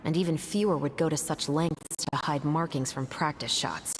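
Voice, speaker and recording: An actress playing a composed, methodical young woman detective in a video game, speaking in a measured, articulate and analytical way. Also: sits low, slight background noise, close to an everyday voice. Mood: calm, assured. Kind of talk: scripted video game dialogue.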